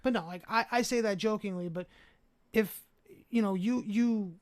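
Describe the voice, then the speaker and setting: A man guesting on a podcast, chatting casually about music with jokey, animated asides. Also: sits high, moderate pace. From Brooklyn. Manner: animated, jokey, acts out quoted voices. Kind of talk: casual chat.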